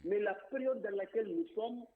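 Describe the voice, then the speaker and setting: A man's voice: sits high, brisk. A man speaking as a diaspora representative on a political radio show, outspoken and emphatic, sometimes repeating words as he thinks. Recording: tinny phone-line sound.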